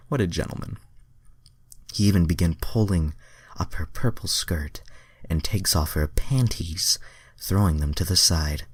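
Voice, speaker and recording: Low-voiced man reading fan fiction aloud. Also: breathy edge, dry recording. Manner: self-mocking, breaks off to comment. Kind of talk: amateur dramatic reading with joking asides.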